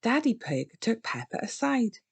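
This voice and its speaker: A woman reading a children's storybook aloud with expressive, deliberate phrasing for young listeners. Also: a little high, gravelly, husky. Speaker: storybook reader. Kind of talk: scripted children's read-aloud narration.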